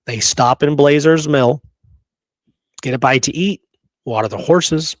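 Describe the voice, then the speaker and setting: A man's voice: fairly high pitch, measured pace, no echo. A man casually telling and discussing history as a show co-host, emphatic and often checking in with "right?".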